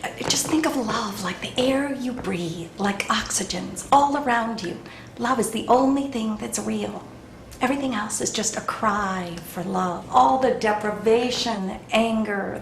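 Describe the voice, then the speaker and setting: An actress playing a pushy, tactless dating counselor who gives glib advice, recorded with a roomy echo. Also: female voice, medium pitch, noisy background, lightly acted. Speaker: counselor in a session. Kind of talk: film scene acting.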